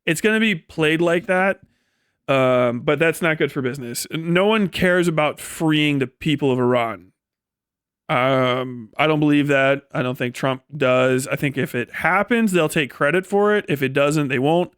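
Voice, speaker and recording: Clear-voiced, foul-mouthed man streaming commentary. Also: a little high, a little slow, a bit bright, no room sound, studio quality. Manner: profane, blunt and dismissive; sardonic. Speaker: live streamer. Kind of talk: off-the-cuff political commentary on a livestream.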